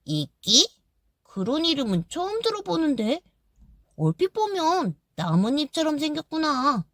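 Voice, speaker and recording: A gentle, curious tree speaks calmly and kindly, as a woman puts it on. The voice sits lower than the other figures, and the recording is dry and close, like a storybook. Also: a little slow, dry recording, heavily acted.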